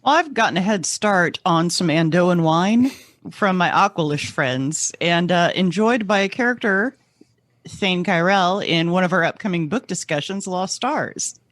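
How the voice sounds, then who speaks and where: A woman's voice: lowish voice, lively intonation, measured pace, a bit bright, dry recording, studio quality. A woman chatting casually and wryly as a podcast co-host. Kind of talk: casual wry panel chat.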